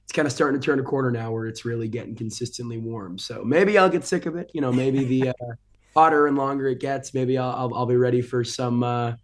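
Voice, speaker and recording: A man's voice: medium pitch, brisk. An American man in his twenties from Boston, chatting casually and informally as a guest broadcaster on an interview show. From Boston, United States. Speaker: guest, broadcaster and ring announcer.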